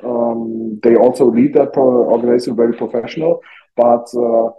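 A man's voice: mid-range voice, animated, moderate pace, gravelly, highs cut off. A man from Germany with a German accent, a non-native English speaker, giving informal interview answers as a youth hockey coach and searching for words as he goes. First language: not English.

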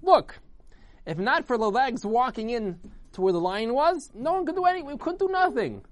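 Loud, boastful talking body part for a children's tale, performed by a man: high, scornful, swooping, spoiling for an argument. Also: big pitch swings, moderate pace. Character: boastful indignant talking body parts, legs and hands, loud.

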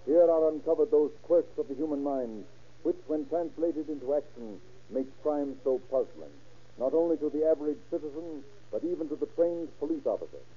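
A man narrating a scripted 1930s radio crime show in a formal, measured, dramatic manner with a husky voice. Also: on the high side. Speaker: narrator and announcer. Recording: dull old radio recording.

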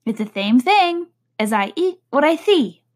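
Woman doing a slow high-voiced mad hatter. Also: sing-song. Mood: odd. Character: odd rude male hatter, curious, riddling.

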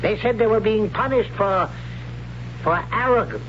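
A stern, zealous old high priest. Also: male voice, a little slow. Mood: solemn. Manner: solemn, commanding, preaching. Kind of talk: 1970s radio drama acting. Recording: dull lo-fi old radio broadcast with hiss.

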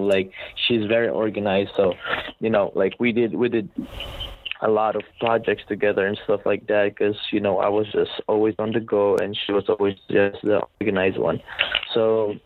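A man in his twenties from the Philippines, a guest telling his story in a relaxed, rambling way with many fillers. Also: lowish voice. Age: 20s. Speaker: podcast guest, reseller. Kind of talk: casual interview talk. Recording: tinny phone-like line, faint noise.

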